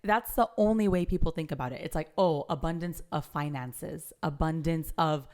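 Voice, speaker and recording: A young woman hosting a casual chat podcast, bubbly and expressive, laughing easily as she talks. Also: sits low, moderate pace. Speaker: podcast host.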